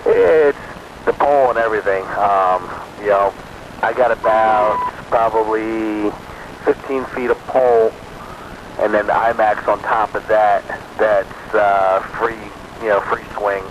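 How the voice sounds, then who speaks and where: An American man chatting casually over a two-way radio, a bit halting as he explains himself. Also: lowish voice, muffled recording. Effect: two-way radio transmission. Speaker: amateur radio operator on a group net. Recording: noisy two-way radio with steady background noise.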